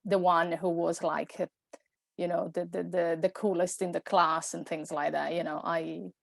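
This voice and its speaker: Italian woman, 40s, podcast guest; low-pitched, dry close mic, hesitant and chatty. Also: level tone, normal speed, gravelly, dry recording. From Italy. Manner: hesitant, many fillers and restarts. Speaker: guest, personal stylist. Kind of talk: podcast conversation.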